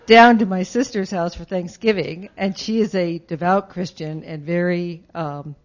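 A woman's voice: lowish voice, steady tone, measured pace, a little hiss or hum. A woman sharing a personal story with a congregation in a chatty way, sometimes stumbling over words. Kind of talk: casual sharing in a meeting. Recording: dull lo-fi room recording.

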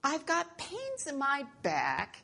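A woman's voice: high voice, wildly swooping pitch, slow pace, vocal fry, pushed and bright, full character voice. Woman as a meek, pleading little turtle. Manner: polite, pleading, groaning. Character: plain, meek little male turtle, weary and suffering.

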